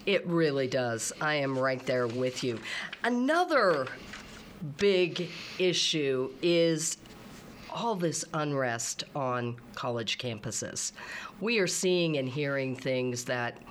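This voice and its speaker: A woman hosting a talk show, introducing stories and questioning her guest in a steady, emphatic way. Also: extremely low pitch, takes it slowly, a little hiss or hum.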